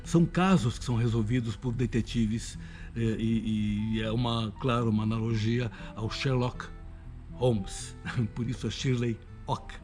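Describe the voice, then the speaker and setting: A Brazilian man talks in a relaxed, promotional interview style. His voice sits at a medium pitch and his manner is enthusiastic. Light music plays behind him. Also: measured pace. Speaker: radio drama voice actor. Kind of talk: promotional interview, casual talk.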